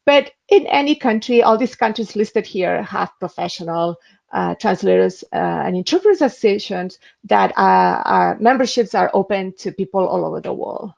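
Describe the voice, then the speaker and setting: Gravelly-voiced woman. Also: mid-range voice, normal speed, a little mellow. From Spain. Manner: earnest, advisory, frequent hesitations. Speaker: interpreter giving a webinar. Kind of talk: instructional webinar talk with screen demo. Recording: dull lo-fi webinar audio.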